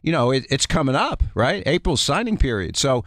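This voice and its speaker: A man giving conversational sports analysis as a radio guest, explaining things in a halting way. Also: mid-range voice, very animated, a bit bright, dry recording, clean studio sound. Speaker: guest college basketball analyst. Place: radio studio.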